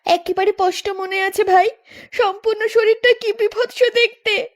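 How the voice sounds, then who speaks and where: A woman's voice: extremely high pitch, steady tone, normal speed. A woman voicing a distraught pregnant young village widow in a melodramatic cartoon, tearful, desperate and pleading. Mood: tearful, shaken.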